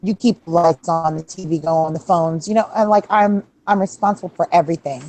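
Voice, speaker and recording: A woman's voice: fairly low pitch, fairly even intonation, fairly quick, dark tone, rough recording. A woman in her forties in casual chat, talking emphatically and swearing. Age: 40s. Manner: emphatic, profane reacting.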